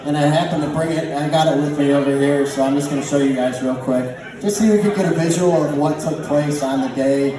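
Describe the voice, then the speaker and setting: A man's voice: on the high side, steady tone, a little fast. A young man telling a rambling, informal comedy story to a live audience, often interrupting himself. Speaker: amateur stand-up storyteller. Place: large echoey indoor space with live audience. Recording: echoey room from far off, audience noise. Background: audience laughter and chatter.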